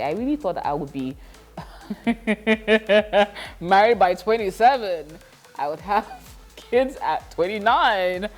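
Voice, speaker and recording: A woman of thirty talking reflectively in a casual vlog, with frequent laughter and fillers. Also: mid-range voice. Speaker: vlogger.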